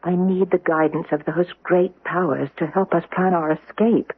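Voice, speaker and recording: A woman's voice: on the low side, fairly even intonation, moderate pace, slightly rough. An actress playing a troubled, intense Roman noblewoman who foresees catastrophe, in theatrical 1970s radio drama style. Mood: solemn, pleading. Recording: noisy, phone-like old radio broadcast.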